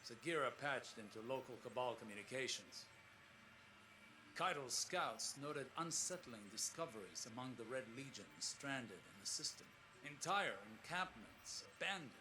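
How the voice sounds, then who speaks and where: Game role: male actor as earnest, lonely scholar-explorer, mid-pitched, formal and reflective logs, clearly noisy backdrop. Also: lively intonation, natural voice. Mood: concerned, measured. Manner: measured, reflective, formal diction. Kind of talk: scripted audio-log narration in a video game.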